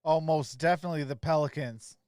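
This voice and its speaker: A man's voice: fairly high pitch, brisk, a little mellow, clean tone, no room sound. A man doing play-by-play for a basketball livestream and chatting with viewers, recorded dry and close.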